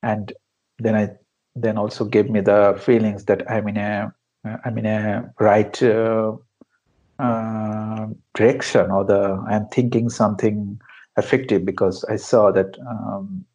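Slow gravelly man from Bangladesh. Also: lowish voice, little pitch movement, a little mellow, highs cut off. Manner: hesitant, many fillers and restarts, non-native phrasing. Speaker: interview guest, founder of an education project. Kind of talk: conversational interview answers.

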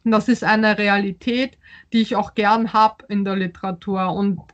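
A woman's voice: mid-range voice, fairly even intonation, moderate pace, lo-fi sound. A woman who grew up speaking Polish first, an author answering interview questions thoughtfully, with frequent fillers and restarts.

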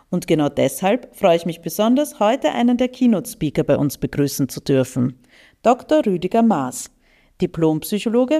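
Mellow low-voiced woman podcast host. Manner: polished, read-aloud. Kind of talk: scripted introduction and interview questions.

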